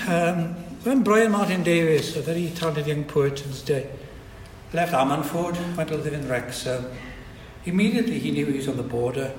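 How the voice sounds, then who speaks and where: A man's voice: on the high side, a little mellow, a little hiss or hum. An older man with a Welsh accent, a native Welsh speaker, giving a reflective public lecture with frequent restarts. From Wales. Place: lecture hall at a society event. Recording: echoey hall recording.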